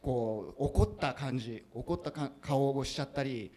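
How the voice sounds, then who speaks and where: A man moderating a bilingual panel at an event, quickly interpreting audience remarks into Japanese with animated energy. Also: medium pitch. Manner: fast, animated, laughing often. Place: event hall.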